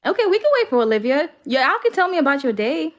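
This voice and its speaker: A woman with a drawl hosts a livestream in an easy, talkative way. Her voice sits a little high, and her sentences run on with plenty of casual fillers. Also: sing-song, fast. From Alabama, US. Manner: chatty, filler-heavy with frequent "like". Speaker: livestream host. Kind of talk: casual chat.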